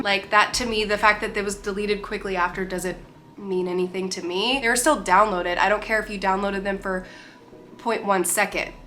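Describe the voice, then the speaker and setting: A woman's voice: mid-range voice, a little hiss or hum. Congested, nasal woman vlogger. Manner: chatty, opinionated, animated. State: congested, short of breath. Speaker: commentary vlogger. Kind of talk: casual commentary.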